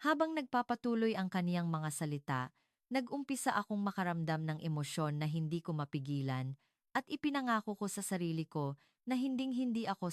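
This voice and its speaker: A woman narrates a scripted dramatic story in the first person. Her voice is smooth and a little low for a woman. She speaks formally and earnestly, in a close, dry recording. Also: no room sound.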